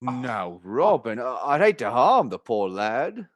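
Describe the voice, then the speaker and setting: An actor playing a good-humored aging fighter who is skilled with a quarterstaff, speaking with a chuckling, self-mocking manner. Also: male voice, medium pitch, big pitch swings, takes it slowly, dull audio. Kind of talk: stage radio play acting.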